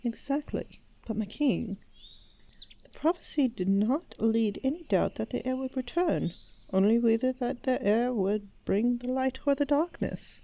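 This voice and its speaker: A shrewd elf queen and mother, played by a woman in fantasy audio drama style. Her voice is fairly high yet soft-edged and warm, with a measured, formal, quietly assured delivery. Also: expressive. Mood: persuasive. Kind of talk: fantasy audio roleplay acting.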